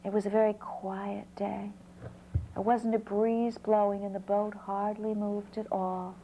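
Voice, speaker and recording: A woman storyteller narrating a folk tale in an unhurried way, pausing between short phrases. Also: medium pitch, level tone, lo-fi sound, slight background noise.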